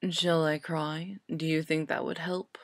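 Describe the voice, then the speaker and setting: Woman as a sardonic grown wizard, male, lowish, deadpan, sneering, muttered barbs, dry close sound, audiobook drama. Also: measured pace, no echo. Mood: bland, sardonic. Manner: deadpan, muttering, pointed, coldly unmoved.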